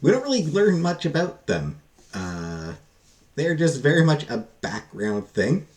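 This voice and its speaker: A man giving a casual, unscripted book review, halting and thinking aloud with frequent pauses. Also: fairly high pitch, huge pitch swings, darkish tone.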